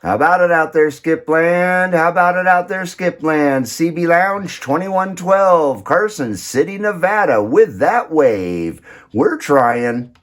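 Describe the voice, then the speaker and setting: A man's voice: on the high side, expressive, takes it slowly. A man working a CB radio from his base station, stretching out his repeated calls and then pointing out the radio's features. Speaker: CB radio hobbyist. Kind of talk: calling out on CB radio and showing off a radio. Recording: loud radio static behind voice.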